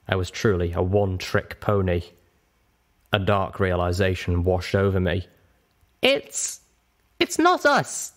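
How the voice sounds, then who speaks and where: A man reading a first-person story aloud as an expressive narrator. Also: low-pitched. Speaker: audiobook reader.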